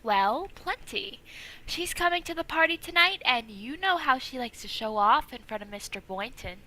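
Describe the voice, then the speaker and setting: A woman acts an eager schoolgirl from a 1940s radio comedy. Her voice is high, bright and forward, with a bubbly, insistent push. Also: lively intonation, normal speed, low-quality recording. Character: eager, conspiratorial teenage schoolgirl.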